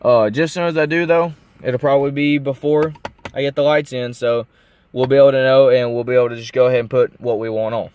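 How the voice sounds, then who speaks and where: A man's voice: mid-range voice, fairly even intonation, fast talker, smooth, dull audio. A young adult man talking casually in an unscripted car vlog, explaining things in a folksy, rambling way. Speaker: car vlogger. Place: inside a parked car.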